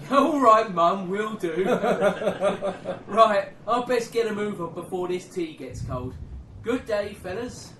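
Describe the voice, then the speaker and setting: A man plays a cheeky working-class English soldier in a wartime radio play. His high voice has a joker's manner, and it comes from a little way off in a roomy space. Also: animated, slow, pushed and bright, heavily acted. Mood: amused, jokey. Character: cheeky, joking English soldier bringing tea round. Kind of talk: dramatic dialogue in an audio play. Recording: roomy, distant, faintly noisy recording.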